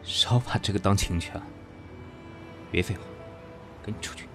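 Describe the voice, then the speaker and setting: An actor in an audio drama playing a proud, weary, sharp-tongued businessman, guarded with his lover, speaking in a curt, dry way. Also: sits low, moderate pace, over music, lightly acted. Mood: irritated, weary.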